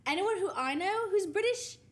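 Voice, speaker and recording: A woman's voice: very high voice, expressive, normal speed, a bit bright. Blunt, swearing young-sounding woman. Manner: blunt, profane, laughing. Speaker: podcast co-host. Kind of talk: casual chat.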